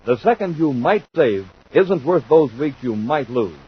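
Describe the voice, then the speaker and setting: A man narrating as a 1950s radio announcer, in a dramatic, deliberate scripted delivery. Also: mid-range voice, animated, husky. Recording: muffled, noisy 1950s radio recording.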